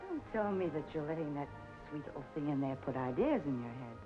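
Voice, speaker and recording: An actor playing a cynical, world-weary drinker who gives blunt, mocking advice in a film noir drama. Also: male voice, a little high, very animated, brisk. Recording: dull vintage film soundtrack with music.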